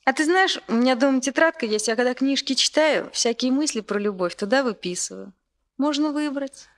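Earnest romantic young woman, fairly high voice, Soviet radio drama. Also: brisk. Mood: dreamy, eager. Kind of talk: Soviet radio play acting.

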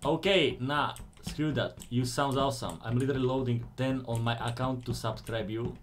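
A man's voice: mid-range voice, normal speed, a little hiss or hum. A man in his thirties with a Slovak accent, chatting playfully with his stream in broken English while gaming on a headset mic at home. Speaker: game streamer. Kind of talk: casual live-stream chat. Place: indoor home room.

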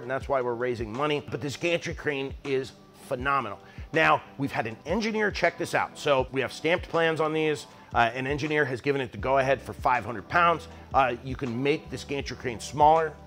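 A man's voice: mid-range voice, fairly bright tone. A man in his forties hosting a woodworking channel, talking casually and enthusiastically straight to camera in his shop. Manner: enthusiastic, upbeat, conversational with fillers. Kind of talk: casual direct-to-camera pitch. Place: mostly empty woodworking shop, indoors.

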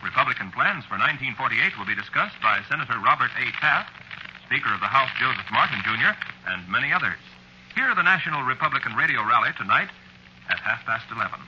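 Bright, animated male vintage radio announcer. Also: mid-range voice, fast, slight background noise. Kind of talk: 1940s scripted radio announcing. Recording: muffled vintage radio broadcast.

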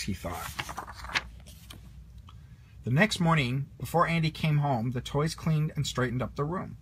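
A man reading a children's story aloud in an animated manner with a husky voice. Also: mid-range voice, a little hiss or hum. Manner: animated, puts on character lines. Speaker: storybook reader.

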